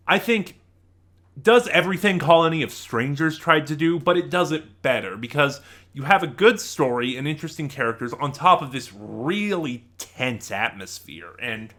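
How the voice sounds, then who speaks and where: Bright, animated man reviewing to viewers. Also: a little high, normal speed. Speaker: YouTube audio drama reviewer. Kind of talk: unscripted opinionated review commentary to viewers.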